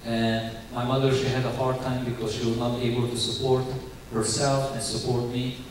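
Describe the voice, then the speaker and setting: A young man with a Ukrainian accent giving his church testimony in earnest, halting English full of restarts. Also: mid-range voice, flat delivery. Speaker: guest speaker giving testimony. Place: church sanctuary. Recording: very echoey church hall, distant mic, faint hiss.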